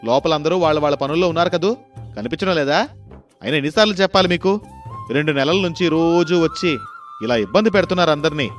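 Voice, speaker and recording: A man's voice: on the high side, animated, quick pace, faint music behind, close to an everyday voice. A man voicing an irritable, dismissive male office peon in a story, scolding impatiently. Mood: irritated scolding. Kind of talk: storybook voice acting.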